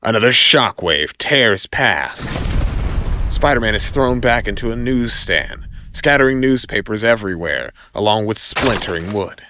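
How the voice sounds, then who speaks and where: A man's voice: fairly low pitch, lively intonation, a little slow, pushed and bright, no room sound. A man narrating an audio comic in a dramatic, scripted storytelling style.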